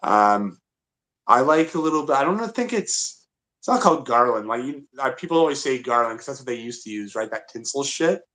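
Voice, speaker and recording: A man co-hosting a podcast, chatting casually with crude jokes and quick wisecracks. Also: mid-range voice, expressive, fairly bright tone. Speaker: podcast co-host. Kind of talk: casual chat.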